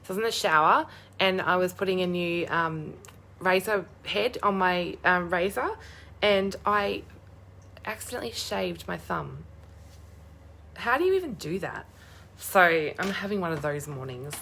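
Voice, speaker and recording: A young woman with a hoarse, croaky voice chatting bubbly on a live stream, greeting viewers by name. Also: mid-range voice, sharp tone. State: hoarse, losing her voice. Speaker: crafting demonstrator hosting a live stream.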